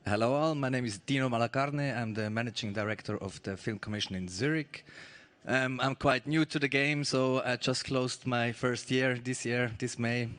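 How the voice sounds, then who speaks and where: A film commission director giving a brief, friendly self-introduction on a panel. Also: male voice, mid-range voice, moderate pace, fairly bright tone. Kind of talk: panel talk.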